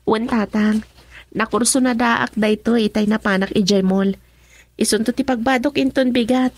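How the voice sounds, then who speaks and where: An actress plays a young Filipino woman in a radio drama, anxious and doubting about love. Her voice sits high and the sound is dry and close. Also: fairly even intonation, moderate pace, dry recording, natural voice. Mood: matter-of-fact, hopeful.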